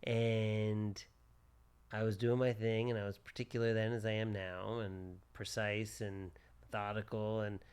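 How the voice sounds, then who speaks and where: A man's voice: fairly low pitch, flat delivery, a little slow, a little mellow, smooth. A man in his forties, a plastic surgeon co-hosting a podcast, chatting casually in short repeated phrases. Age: 40s.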